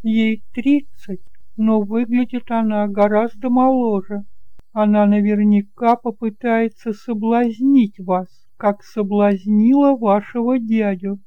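A man's voice: high voice, steady tone, slow, clean tone, no room sound, narrow, tinny audio, pitched above the performer's own voice. A man voicing a genteel, middle-aged widowed aunt who speaks with emphatic, solicitous insistence in an audiobook drama. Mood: worried, warning.

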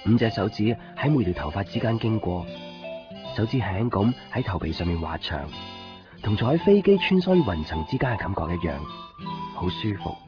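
A low-voiced, mild young Hong Kong shopkeeper tells his story plainly in a radio drama. He is gentle and easygoing. The recording is dull, with music clearly playing behind him. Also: very animated, fairly quick. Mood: dreamy, relaxed. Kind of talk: radio drama narration and dialogue. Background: prominent background music.

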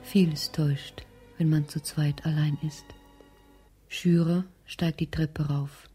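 An actress playing a detached narrator in a radio drama, hushed and measured as she voices inner thoughts. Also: sits low, flat delivery, breathy edge, full character voice. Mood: detached, sombre. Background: faint music.

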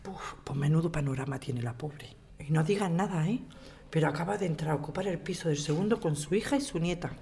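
A woman's voice: deep voice, a little fast, dark tone, a little hiss or hum. A woman with an accent from Spain acting a helpful, protective neighbour in an audio drama. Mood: hushed, discreet.

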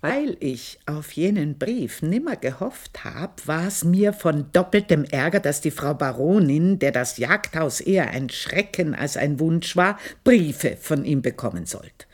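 An Austrian actress playing a proud, jealous village-born maidservant, telling her story in a confiding, earthy radio monologue with drawn-out emphasis. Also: low-pitched, big pitch swings, darkish tone, breathy edge, exaggerated voice. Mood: yearning, confiding. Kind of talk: dramatic first-person radio monologue, literary storytelling.

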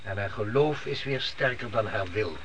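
Radio-play patriarch: solemn, strict, sharp-edged voice, faint background noise. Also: male voice, fairly low pitch, normal speed. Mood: resolute. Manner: commanding, solemn. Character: stern, devout father leading his family through the desert. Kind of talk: Dutch radio play acting. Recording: noisy old radio drama recording.

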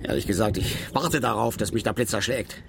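An actor playing a middle-aged angler in a radio drama, bitter, opinionated and self-pitying, with a grumbling, rambling, sardonic and colloquial delivery. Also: male voice, fairly low pitch, fairly quick, gravelly, heavy background noise. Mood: despairing, self-pitying. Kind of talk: naturalistic German radio drama acting.

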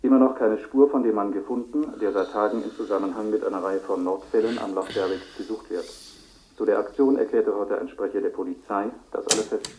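An actor playing a formal newsreader heard from a radio set within a crime drama. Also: male voice, lowish voice, fairly even intonation, fairly quick, a little mellow, a little hiss or hum, low-quality recording. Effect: heard through a radio set. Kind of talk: radio news bulletin inside a drama.

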